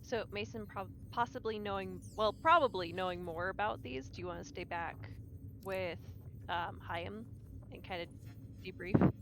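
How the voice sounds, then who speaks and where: A woman's voice: mid-range voice, moderate pace, background noise. A woman chatting casually as a player in a tabletop game, recorded on a distant mic.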